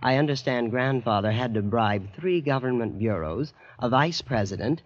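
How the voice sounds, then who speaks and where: Male actor as spoiled young heir, American, boastful, nonchalant, animated intonation, dull vintage radio sound. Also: mid-range voice, moderate pace, no room sound, natural voice. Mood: smug, casual. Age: young adult. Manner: nonchalant, smug. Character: blasé, boastful young heir of a fabulously rich family. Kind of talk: 1950s American radio drama acting. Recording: muffled vintage radio recording.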